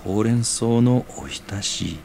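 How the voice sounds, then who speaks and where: An actor playing a modest, earnest young working man in an audio drama, speaking in a gentle, boyish manner. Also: fairly low pitch, a little slow, gravelly, a little hiss or hum. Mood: wistful, earnest. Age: young adult.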